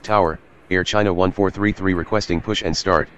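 A man's voice as a simulated pilot, reading back clipped radio calls in a flat, clipped way. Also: sits low, brisk, highs cut off, a little hiss or hum.

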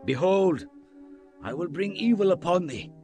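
An actor playing a stern, wrathful prophet, declaiming doom solemnly in a Bible drama. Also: male voice, sits high, expressive, unhurried, warm tone, soft background music.

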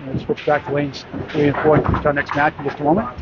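A man's voice: fairly high pitch, little pitch movement, quick pace. A man announcing a bowling tournament live, reading off scores and bowler stats in a matter-of-fact way from inside a bowling alley. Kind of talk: live sports commentary. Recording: dull lo-fi sound with loud alley noise.

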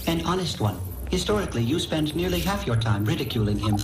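Creaky, judgmental AI orb. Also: male voice, on the high side, animated, background noise. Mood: coolly reproachful. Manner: measured, formal, reproachful. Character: floating male artificial intelligence orb, coolly judgmental. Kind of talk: video game voice acting.

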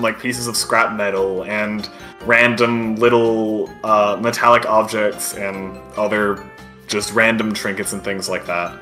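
A man's voice: mid-range voice, bright, forceful tone. A man narrating a tabletop game as game master, improvising descriptions in hesitant, casual wording.

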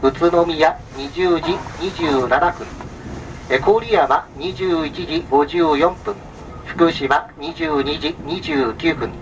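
A man's voice: fairly high pitch, recorded from a distance. A man working as a train conductor, making a formal, polite announcement over the public address speaker of a sleeper train. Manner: formal, polite, measured listing with small filler sounds. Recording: muffled train PA from afar, vehicle noise.

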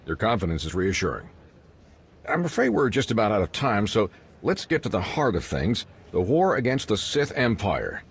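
An actor playing a polished broadcast interviewer in a sci-fi game, with a presenterly delivery. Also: male voice, on the low side, big pitch swings, moderate pace, heavily acted. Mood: polished, upbeat. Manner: smooth-talking, presenterly. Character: polished male holonews interviewer signing off a broadcast. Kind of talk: scripted game news broadcast.

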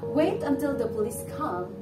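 A woman's voice: on the high side, lively intonation, lightly acted. Woman playing proud accuser, theatrical, emphatic delivery, roomy distant recording, music behind. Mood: cold, probing. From Philippines. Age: young adult. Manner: declamatory, emphatic, deliberate. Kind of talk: theatrical stage acting of a classic play. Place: indoor living-room stage set.

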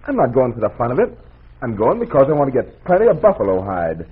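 Casting: earnest young western hunter, swooping husky voice, tinny vintage radio sound. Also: male voice, medium pitch, huge pitch swings, fast, heavily acted. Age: young adult. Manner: earnest, pleading. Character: earnest young buffalo hunter, pleading lovesick fiancé. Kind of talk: old-time radio drama acting. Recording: muffled, tinny old radio recording.

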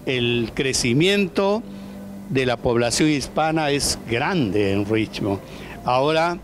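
An older man hosting a community TV interview, effusive and welcoming, speaking into a handheld microphone in an indoor studio. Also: a little high. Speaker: TV show host. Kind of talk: interviewing a guest on a community TV show. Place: indoor studio set in a market hall.

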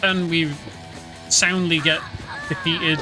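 A man streaming a game, explaining the story and fights in a relaxed, chuckling way. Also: on the high side, slow pace, low-quality recording. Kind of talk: casual game commentary. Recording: game music and sounds behind. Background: game music.

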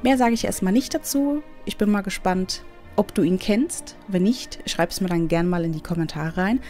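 A woman chats casually as she hosts a game playthrough. Her mid-pitched voice is slightly stuffed up by a cold, and soft music plays behind it. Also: normal speed. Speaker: let's play host. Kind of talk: casual gameplay commentary. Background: background game music.

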